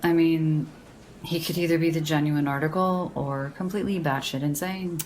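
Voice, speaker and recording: An actress playing a sardonic, deadpan young woman in casual audio drama banter, with a gravelly creak and a dry, teasing delivery. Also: low-pitched, moderate pace, slight background noise, close to an everyday voice. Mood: dry, matter-of-fact. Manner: dry, teasing, slangy, unimpressed. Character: deadpan, sardonic young woman who loves horror paperbacks.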